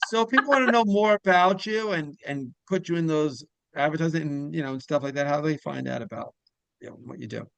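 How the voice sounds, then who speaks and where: A man's voice: on the high side, quick pace, creaky, a bit bright, clean tone, dry recording, poor audio. A man hosting a casual interview, talking in a rambling way that circles back mid-sentence. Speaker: podcast host interviewer.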